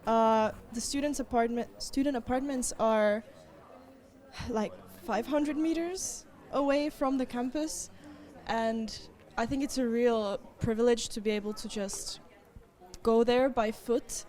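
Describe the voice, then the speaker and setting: A woman's voice: on the high side, fairly even intonation, darkish tone. Thoughtful young woman student. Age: young adult. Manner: thoughtful, with frequent pauses and fillers. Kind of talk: interview answers.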